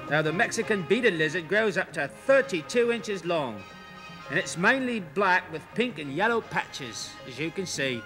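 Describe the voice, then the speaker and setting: A man's voice: high voice, big pitch swings, normal speed, music in the background. An actor playing a jolly, chatty male zoo host who gushes to the audience and coos at the animals. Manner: chummy, gushing, talks to the audience and animals. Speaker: zoo show host character. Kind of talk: comedy sketch acting.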